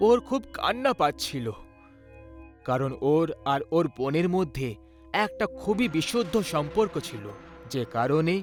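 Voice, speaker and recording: A man narrating a scripted horror story in a dramatic storytelling style. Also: a little high. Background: background music.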